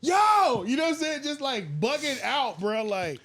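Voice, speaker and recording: An American man hosting a casual podcast, talking in an animated, slangy and emphatic way. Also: extremely high pitch, measured pace, husky, no echo. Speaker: podcast host.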